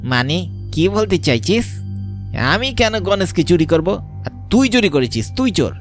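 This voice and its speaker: A man voicing a brash young village cattle thief in a horror cartoon, blustering in rough slang but cowardly underneath. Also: high-pitched, sing-song, a little slow, soft background music, pitched above the performer's own voice. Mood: defiant bluster.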